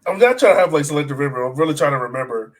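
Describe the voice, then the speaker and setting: A man's voice: fairly high pitch, animated, racing. An American man hosting a soccer podcast, talking casually and steering the discussion in an inquisitive, conversational way. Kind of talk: casual conversational sports talk.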